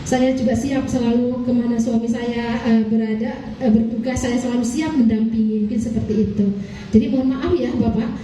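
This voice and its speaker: A woman's voice: on the high side, steady tone, fairly quick, dark tone, a little hiss or hum. A woman from Aceh giving a formal talk to community leaders, hesitant with many fillers and polite apologies. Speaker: speaker at a training session for neighborhood leaders. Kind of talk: formal public address. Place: meeting hall. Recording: echoey hall, voice from far off.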